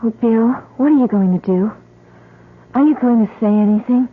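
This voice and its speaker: An actress playing an anxious, fretful wife in an old-time radio drama, her voice a little husky. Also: fairly high pitch, moderate pace, lightly acted. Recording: tinny band-limited old radio recording.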